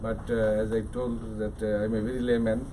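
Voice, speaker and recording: A man's voice: fairly low pitch, flat delivery, moderate pace. A man with a Bangladeshi accent, an activist for migrants' rights, speaking hesitantly with many fillers at a conference. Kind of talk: conference remarks. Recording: distant mic with background noise.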